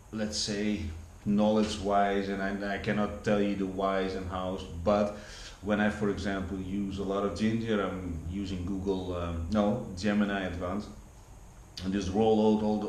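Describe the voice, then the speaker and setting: A man's voice: fairly low pitch, a little mellow. Rambling Dutch man in an echoey room. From Netherlands. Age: 40s. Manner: rambling, many restarts and repeated words. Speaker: vlogger. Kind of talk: casual rambling monologue. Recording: echoey room with faint background hum.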